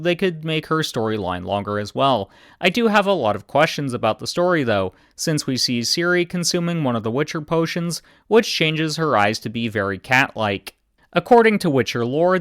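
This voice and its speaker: Deadpan man, clear and dry. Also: on the high side, no echo. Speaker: video game commentator. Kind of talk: scripted video commentary.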